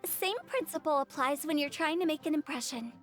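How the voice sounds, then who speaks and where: A woman's voice: high voice, a little fast, soft background music, lightly acted. A woman voicing a playful, theatrical young female character in a video game, with a showy, teasing, confident manner. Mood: confident, explaining. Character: playful, theatrical, cocky young female funeral director and poet.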